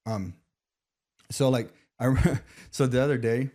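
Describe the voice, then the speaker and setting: A man's voice: medium pitch, measured pace, darkish tone. Rambling, joking podcast host, a man. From Arizona. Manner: rambling, filler-heavy, loose and joking. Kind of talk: casual interview chat.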